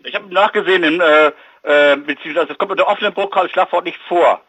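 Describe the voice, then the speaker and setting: A man working as a bookshop keeper, speaking on the phone in an exasperated, blunt and halting manner. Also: sits high, fairly bright tone, highs cut off, slight background noise. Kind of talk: phone conversation.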